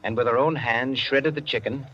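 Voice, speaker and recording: A man narrating a scripted 1940s radio drama in a measured, literary, dramatic manner. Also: medium pitch, fairly even intonation, heavy background noise, natural voice. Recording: muffled 1940s radio recording with music.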